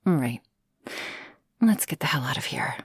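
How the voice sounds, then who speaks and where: A woman playing a guarded but loving shark girl in an ASMR roleplay, speaking softly and intimately with frequent sighs. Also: rumbling low, expressive, normal speed, dry recording, clean studio sound, heavily acted. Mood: tender, weary. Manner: soft, breathy, intimate, often sighing. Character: guarded, loving shark girl, former freak show performer.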